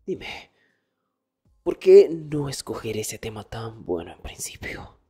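A man playing an arrogant nerdy young student in an intimate ASMR roleplay, hushed and often dropping into whispers. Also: medium pitch, big pitch swings, slow pace, slightly rough, soft background music, heavily acted. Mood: exasperated. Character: arrogant nerdy young male student, exasperated, teasingly dominant. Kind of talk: Spanish ASMR boyfriend roleplay, intimate one-sided dialogue.

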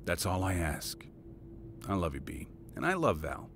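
A man playing a devoted father and veteran intelligence monitor in an audio drama, speaking in a measured, matter-of-fact way. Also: sing-song, fairly bright tone. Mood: gentle. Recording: audible low rumbling background noise.

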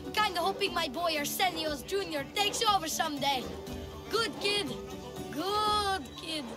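A cocky young boy tour guide in a video game, selling with nonstop confident patter. The voice sits very high, and game music runs clearly underneath. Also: unhurried. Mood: salesy.

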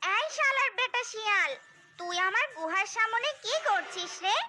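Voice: very high-pitched, lively intonation, normal speed, bright, forceful tone, soft background music, full character voice. A performer voicing an angry, threatening female dinosaur guarding her eggs in a cartoon. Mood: angry threats. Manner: accusing, threatening. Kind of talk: cartoon voice acting.

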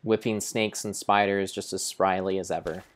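Very low-voiced man narrating like a storybook. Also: little pitch movement, slight background noise. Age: young adult. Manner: mock-grand, deliberate, halting delivery. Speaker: game streamer. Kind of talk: improvised dramatic storybook narration of gameplay. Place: indoor room at home.

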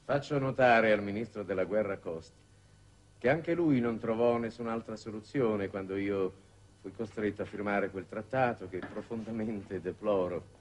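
An actor playing a grave, authoritative foreign minister with formal, measured oratory in a 1960s Italian television drama. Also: male voice, lowish voice, slight background noise, natural voice. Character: grave, authoritative foreign minister chairing a space war council. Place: television studio set. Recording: dated 1960s television soundtrack.

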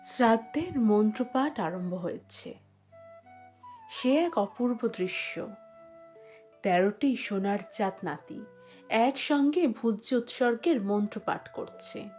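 A woman's voice: on the high side, animated, takes it slowly, pauses often, a little mellow, faint music behind. A woman reading a literary short story aloud as a scripted narration.

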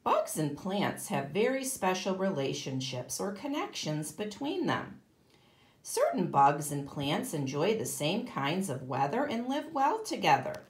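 A woman's voice: lowish voice, very animated, measured pace, echoey room. A woman teaching kindergarten, reading a children's book aloud expressively and explaining it to young listeners. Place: indoor room, at a table.

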